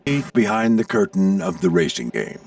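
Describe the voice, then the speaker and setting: A seasoned military airplane in an animated game, a folksy mentor with natural authority. His voice is gravelly and his pitch moves a lot as he spins his tales. The sound is very dry. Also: normal speed, dry recording, recorded from a distance, heavily acted. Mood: storytelling. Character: seasoned male navy plane, gruff mentor and storyteller. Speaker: commanding instructor recounting past races.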